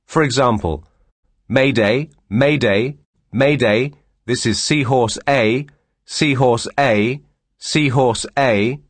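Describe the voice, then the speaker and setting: A man reading scripted instructional narration as a course instructor, measured, formal and clearly enunciated. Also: mid-range voice, dry recording, clean studio sound.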